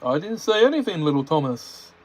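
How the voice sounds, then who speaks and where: A proud, self-important big steam engine from a storybook , put on by a man. He whines with indignation and brags of his importance, in a voice lifted well above the reader's own and pushed loud. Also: fairly high pitch, sing-song, fairly quick, voice pushed up. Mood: indignant. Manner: whiny, indignant, boastful. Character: pompous sulky big male steam engine.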